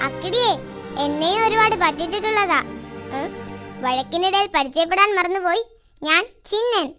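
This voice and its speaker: Squeaky, childlike little cartoon mouse. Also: lively intonation, moderate pace. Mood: friendly, scheming. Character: small cheeky mouse, crafty and friendly. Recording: music behind the voice.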